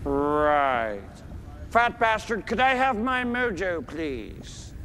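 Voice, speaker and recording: An actor playing a pompous middle-aged supervillain who speaks in a clipped, deadpan, haughty way. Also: male voice, high-pitched, extremely slow, long reverb tail, a little hiss or hum, heavily acted.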